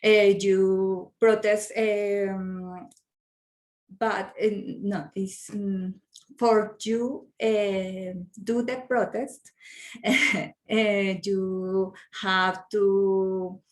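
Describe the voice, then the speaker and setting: A Colombian woman whose first language is Spanish speaks in hesitant English with a Colombian Spanish accent. She talks very slowly, stopping to search for words and filling gaps with "uh" and "um." Her pitch sits at an ordinary middle level. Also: flat delivery. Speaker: workshop participant. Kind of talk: halting discussion contribution.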